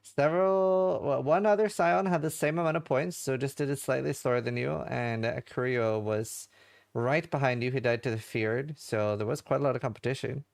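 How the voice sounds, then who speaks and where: A man's voice: mid-range voice, moderate pace, creaky, no room sound, clean studio sound. A man hosting a casual post-show interview, asking upbeat questions with many fillers. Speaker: show host.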